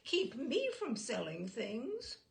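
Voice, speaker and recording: A woman's voice: a little high, wildly swooping pitch, slow pace, vocal fry, exaggerated voice. A woman voicing a chatty, unflappable wife who insists and justifies herself in a story reading. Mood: indignant. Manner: rambling, insistent, self-assured.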